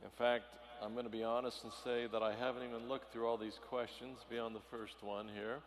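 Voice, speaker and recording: A man's voice: mid-range voice, level tone. A man moderating an unscripted on-stage interview, stumbling and restarting his sentences. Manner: halting, restarts often. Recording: echoey hall, faint noise.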